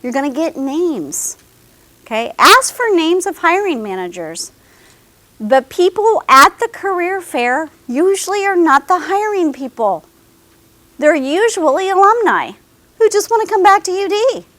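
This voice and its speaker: Woman, career presenter, high voice, very animated, dry close sound. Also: a little slow, dry recording. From American South. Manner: animated, addresses students directly, checks in with "okay?". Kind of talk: lively classroom presentation. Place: classroom with students.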